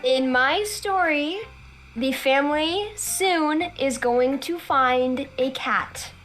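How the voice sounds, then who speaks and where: A woman's voice: high voice, takes it slowly, a bit bright, low-quality recording. A woman chatting casually on a livestream in a playful, giggly manner. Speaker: livestream collaborator. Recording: music behind the voice.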